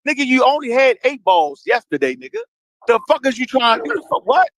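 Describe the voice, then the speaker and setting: High-voiced older man telling stories with gusto. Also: a little fast, creaky, no echo. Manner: animated, profane storytelling with acted-out quotes. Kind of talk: heated group conversation.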